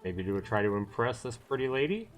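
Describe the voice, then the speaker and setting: A man's voice: medium pitch, sing-song, fast, soft background music. A man livestreaming a visual novel, reading it aloud and adding chatty commentary as he thinks aloud. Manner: chatty, thinks aloud between read passages. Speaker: livestream host.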